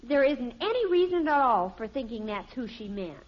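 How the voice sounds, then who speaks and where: High-pitched exasperated teenage daughter. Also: female voice, big pitch swings, normal speed. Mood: indignant. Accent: American. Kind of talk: 1950s radio sitcom acting. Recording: muffled old radio recording.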